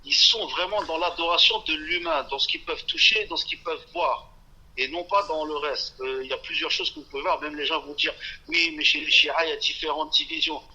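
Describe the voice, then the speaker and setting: A man's voice: on the high side, brisk, bright, forceful tone, breathy edge. A man on the phone as an interview guest, blunt and categorical, cutting in over the host. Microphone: telephone. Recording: muffled phone line.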